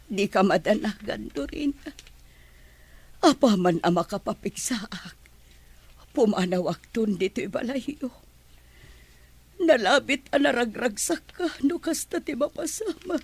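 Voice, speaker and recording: Gentle, weakened household nanny, husky voice, dry sound, humble radio drama delivery. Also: female voice, a little high, lively intonation, unhurried, long pauses, no echo, exaggerated voice. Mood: resigned. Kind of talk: Ilocano radio drama acting.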